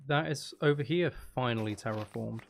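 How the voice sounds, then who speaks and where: A man's voice: medium pitch, moderate pace, gravelly. A man casually chatting on a live game stream, musing aloud and talking to viewers as he plays. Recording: faint background noise.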